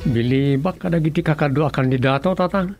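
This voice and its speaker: A man's voice: on the high side, fairly quick, loud music behind the voice, lightly acted. A man with an Ilocano accent playing a son talking with his father in a radio comedy-drama. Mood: inquisitive. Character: son character chatting with his father about village politics.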